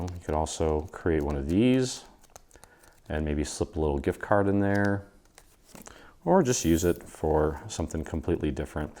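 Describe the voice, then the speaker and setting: A man's voice: low-pitched, a little mellow. A man in his forties with an American accent, casually hosting a step-by-step craft tutorial in an informal, easygoing way. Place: indoor craft studio.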